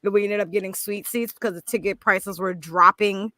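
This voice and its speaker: A woman's voice: mid-range voice, fairly quick, no room sound. A woman co-hosting a casual podcast chat, telling stories with animation and lots of fillers. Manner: animated storytelling, many fillers. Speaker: podcast co-host.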